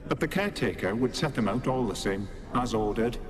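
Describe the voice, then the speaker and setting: An actor voicing a solemn animal spirit in a video game, speaking formally. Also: male voice, medium pitch, animated, fairly quick, natural voice. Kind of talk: dramatic video game acting. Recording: long hall reverb.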